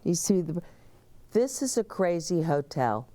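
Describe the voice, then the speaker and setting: A woman's voice: lowish voice, very animated, measured pace, dark tone. A woman hosting a children's story time, reading aloud expressively with chatty asides, explanations and questions for young listeners.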